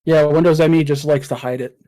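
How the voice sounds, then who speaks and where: Young male co-host, slightly high, mellow tone, eager tech explainer. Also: fairly even intonation, brisk. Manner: explains tech details eagerly, restarts phrases. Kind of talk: casual chat.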